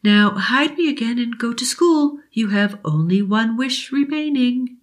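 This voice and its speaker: A woman voicing a kind, plump old female genie who speaks in a slow, measured way. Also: a little high, lively intonation, pitched above the performer's own voice. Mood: calm.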